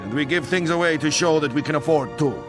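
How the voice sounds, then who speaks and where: An actor playing a vain aristocratic horse in a video game, with a French accent and a pompous, condescending, affected manner. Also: male voice, on the high side, fast, music in the background, natural voice. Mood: boastful. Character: vain, haughty aristocratic French stallion, male. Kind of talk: video game cutscene acting.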